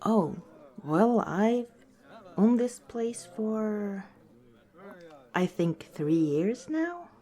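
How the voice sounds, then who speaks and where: A woman playing a friendly, teasing orc tavern owner in a softly spoken ASMR roleplay, chatting gently and without hurry. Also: medium pitch, sing-song, very slow, pauses often, full character voice. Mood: reflective.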